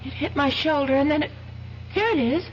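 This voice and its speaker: Actress as high-voiced, desperate trapped woman. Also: fairly quick, natural voice. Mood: anxious pleading. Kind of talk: radio drama acting. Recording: dull old radio recording, faint noise.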